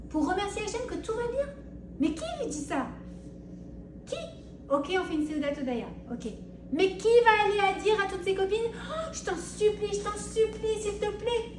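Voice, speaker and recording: A middle-aged woman teaches Torah with a high, lively voice and acts out her listeners' pleas. She is heard from a distance in an echoing room. A clear hiss runs under her voice. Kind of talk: spoken Torah class to women. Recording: roomy, distant mic with hiss.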